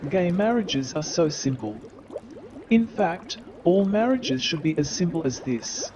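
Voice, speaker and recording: A man's voice: high voice, big pitch swings, measured pace, highs cut off. A man giving theatrical scripted narration for a comic stage play. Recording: noisy, with crowd sounds behind.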